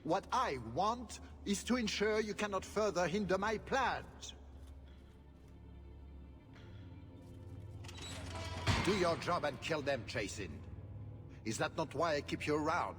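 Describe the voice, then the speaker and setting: Game villain, played by a man: male duke, suave, mock-polite, high-pitched for a man, music clearly behind. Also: lively intonation, normal speed, lightly acted. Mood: menacing. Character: suave, pompous villainous duke, mockingly courteous. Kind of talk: theatrical video game voice acting.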